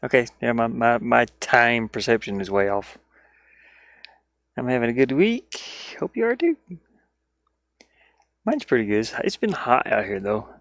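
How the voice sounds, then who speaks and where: An easygoing man talks through his game development work in a slow, unhurried way. His mid-pitched voice has a creaky fry to it, and he rambles haltingly, often muttering his thoughts to himself. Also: expressive, fairly bright tone, no room sound. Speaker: indie game developer showing his own game. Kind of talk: casual, rambling devlog commentary while working.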